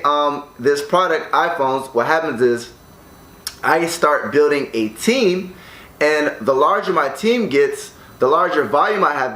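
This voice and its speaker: Upbeat American man vlogging from an echoey room. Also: on the high side. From United States. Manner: energetic, upbeat, salesy, with frequent "right?" tags. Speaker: online business vlogger. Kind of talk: casual direct-to-camera vlog. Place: condo room.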